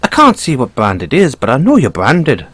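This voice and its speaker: Man voicing a husky, sly Irish bunny mobster. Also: mid-range voice, wildly swooping pitch, a bit bright, no room sound, heavily acted. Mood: teasing threat. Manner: teasing, unhurried, coaxing with tag questions. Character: sly young male bunny mechanic, secret mafia boss, playfully menacing. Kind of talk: intimate audio roleplay acting.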